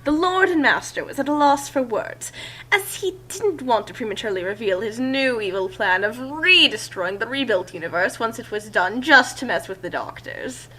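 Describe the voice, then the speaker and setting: Bright-voiced woman narrating a story. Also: a little high, animated, normal speed, low-quality recording. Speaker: narrator and commentator of a fan fiction reading. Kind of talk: amateur story narration with joking asides.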